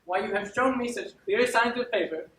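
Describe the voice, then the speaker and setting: A man playing a wronged, pompous gentleman servant on stage, emphatic, formal and indignant. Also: high-pitched, animated, normal speed. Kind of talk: theatrical stage acting. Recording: echoey stage, distant mic, faint noise.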